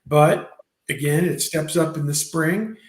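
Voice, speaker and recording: A man in his fifties hosting a sports talk show, rambling, with many fillers and pauses. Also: fairly high pitch, echoey room. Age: 50s. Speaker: podcast host.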